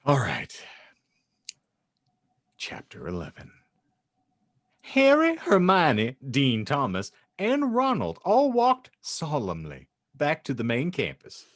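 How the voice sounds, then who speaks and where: A man's voice: a little high, wildly swooping pitch, slow, pauses often, a bit bright, no echo, studio quality. A man hosting a comedy podcast and narrating, with a mock-solemn, wry, theatrical delivery. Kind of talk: comedic banter and dramatic story reading.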